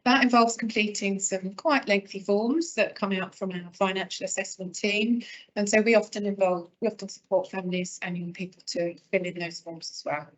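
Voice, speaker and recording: A woman explains adult social care in a presentation, restarting phrases and filling pauses with ums. Her voice sits in a middle pitch. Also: poor audio. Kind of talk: presentation at an online event.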